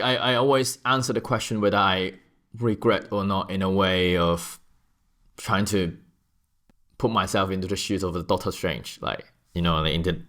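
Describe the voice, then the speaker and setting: A man's voice: deep voice, fairly bright tone. A man in his thirties from Hong Kong with a Hong Kong accent, answering interview questions thoughtfully and restarting his sentences often. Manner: thoughtful, frequent restarts and fillers. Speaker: guest. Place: office.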